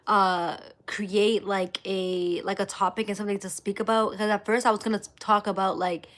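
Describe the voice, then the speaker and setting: Female live streamer, medium-pitched, casual motivational delivery, emphatic with repeated phrases. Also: level tone, moderate pace. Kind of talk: casual motivational livestream talk to viewers.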